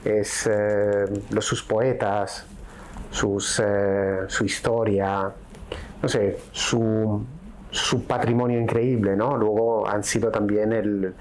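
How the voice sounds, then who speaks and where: A middle-aged man from Italy with an Italian accent, a podcast guest speaking in a reflective, unhurried way and often ending thoughts with a question tag. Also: lowish voice, flat delivery, a little hiss or hum. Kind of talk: casual conversation.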